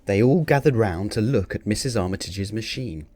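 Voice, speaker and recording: A man's voice: fairly low pitch, expressive, normal speed, a little mellow, no room sound. A man narrating a children's picture book expressively, theatrical on the sound-effect words. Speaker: storybook reader.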